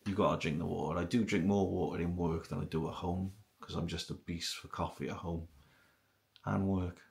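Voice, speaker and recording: A man's voice: sits low, fairly even intonation, moderate pace, gravelly, a little mellow. A middle-aged man with a British accent chatting casually to camera in a rambling way, repeating himself for emphasis. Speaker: video blogger. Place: small kitchen at home, indoors.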